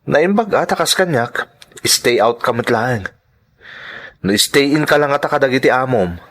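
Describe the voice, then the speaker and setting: Man acting a caring, mid-pitched Filipino friend. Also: animated, normal speed, fairly bright tone. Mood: caring, advising. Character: caring, concerned Filipino male friend and fellow migrant worker. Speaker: drama actor. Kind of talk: Filipino audio drama acting.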